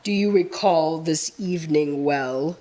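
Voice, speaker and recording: A woman acting as a composed, probing spirit guide in a live radio play, speaking in a measured way. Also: sits low, dark tone. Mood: storytelling. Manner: measured storytelling and questioning.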